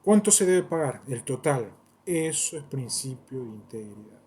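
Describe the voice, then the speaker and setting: A man's voice: mid-range voice, very animated, normal speed, a little mellow, slightly rough. Solemn man declaiming at length. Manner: solemn, declaiming, repeats phrases for emphasis. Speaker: self-styled theologian. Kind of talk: rambling solo monologue.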